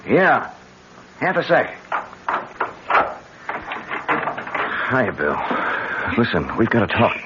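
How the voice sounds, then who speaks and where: An actor playing an earnest, rational academic husband in a 1970s radio drama, speaking emphatically and eruditely. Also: male voice, mid-range voice, huge pitch swings, unhurried. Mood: alert. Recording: dull noisy old radio broadcast recording.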